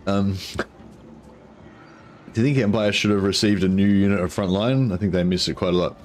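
Croaky deep-voiced streamer with headset. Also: male voice, fairly even intonation, normal speed, a little mellow, a little hiss or hum. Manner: rambling, many ums and restarts. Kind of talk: casual gameplay commentary.

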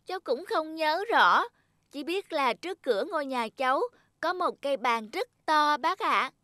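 A woman voicing an earnest, childlike little talking household object in a children's cartoon, with an expressive manner. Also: sits high, moderate pace, dry recording, clean studio sound. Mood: polite, friendly. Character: childlike talking cup and flashlight, eager, easily frightened young friends. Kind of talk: dubbed children's cartoon dialogue.

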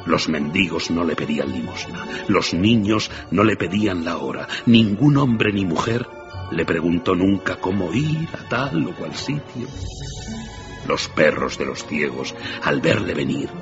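Narrator for radio drama: male, low-pitched voice, dramatic storytelling delivery, music behind, slightly dull recording. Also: expressive, measured pace. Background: clear background music.

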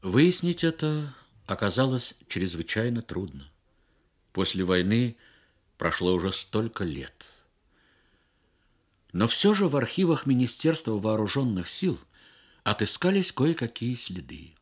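A man narrating a literary story for radio with measured, expressive phrasing and deliberate pauses. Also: fairly low pitch. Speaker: story reader.

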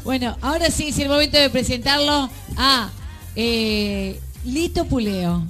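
A woman's voice: sits high, a little slow, a bit bright, faint music behind. A woman with a Rioplatense Argentine accent, hosting a talent show on a club stage and chatting playfully with a contestant. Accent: Rioplatense Spanish. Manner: chatty and teasing, showering praise. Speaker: show host. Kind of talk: casual stage interview. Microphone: handheld mic.

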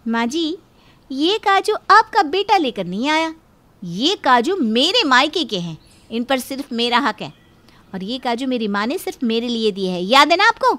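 A woman's voice: high-pitched, very animated, moderate pace, pushed and bright, exaggerated voice. A woman voicing a quarrelsome, possessive young married woman in a cartoon family drama, scolding emphatically. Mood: smug, defiant.

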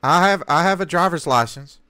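A man's voice: a little high, quick pace, bright, forceful tone, smooth. A man baiting a phone scammer, talking hesitantly and stammering as he plays a naive caller. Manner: hesitant, stammering, playing dumb. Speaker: scam baiter streamer.

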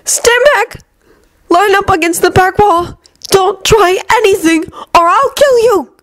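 A woman's voice: very high voice, a little slow, dry recording, studio quality, pitched above the performer's own voice. A woman voicing a nervous teenage boy guard in a story, shaky as he blusters threats. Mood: nervous, threatening.

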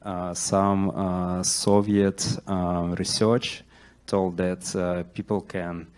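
Male presenter, middle-aged, deep and unhurried, halting delivery full of fillers, handheld mic in a lecture hall. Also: little pitch movement, a little mellow. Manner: hesitant, many "uh" fillers and restarts. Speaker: conference presenter. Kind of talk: conference talk with slides.

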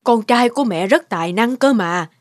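A woman voicing an anxious, overprotective middle-aged mother in an animated moral tale, with a fretful, earnest manner. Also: on the high side, moderate pace, no room sound, studio quality. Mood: coaxing.

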